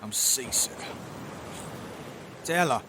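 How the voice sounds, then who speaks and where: An actor playing a curt, impatient detective inspector in an audio drama, brusque and authoritative. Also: male voice, mid-range voice, lively intonation, very slow, background noise.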